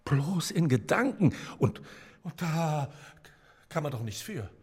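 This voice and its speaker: Raspy, frightened prisoner. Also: male voice, a little high, very animated, full character voice. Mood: fearful whispering. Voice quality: raspy, breathy, creaky. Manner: halting, pleading, drifting into whispers. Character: frightened, pleading male prisoner, eager naive neighbour. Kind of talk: German radio play acting.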